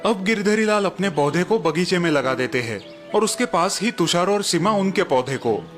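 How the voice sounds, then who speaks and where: Brief: male storyteller, slightly high voice, even scripted delivery, faint music bed. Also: normal speed. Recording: music bed behind narration.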